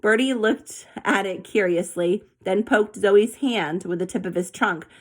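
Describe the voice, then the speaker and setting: A woman reading a children's story aloud with an expressive, animated delivery. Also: mid-range voice, unhurried. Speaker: storybook reader.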